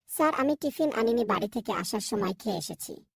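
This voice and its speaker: A woman voicing a calm, honest, pious young schoolboy in a cartoon, speaking politely and earnestly. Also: fairly high pitch, a little fast, vocal fry, slightly rough, dry recording, clean studio sound, heavily acted. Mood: polite, matter-of-fact. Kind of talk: cartoon voice acting.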